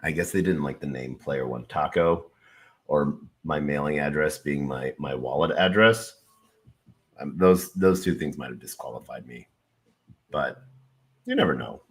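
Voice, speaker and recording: Laid-back man with a bright voice. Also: extremely low pitch, a little slow. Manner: relaxed, swearing, plenty of fillers; hype announcer voice when introducing a guest. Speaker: livestream host and crypto content creator. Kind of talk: casual livestream talk.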